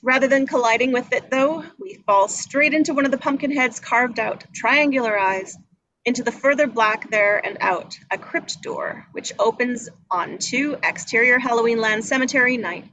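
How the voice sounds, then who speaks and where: High-voiced woman reading over background noise. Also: moderate pace, a bit bright, low-quality recording. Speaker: stage directions reader. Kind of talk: reading screenplay descriptions aloud.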